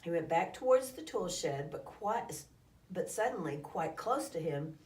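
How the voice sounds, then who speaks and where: A woman reading a children's story aloud in an expressive, dramatic storytelling style. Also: lowish voice, unhurried. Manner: dramatic, acts out calls and sound effects. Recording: echoey room, distant microphone.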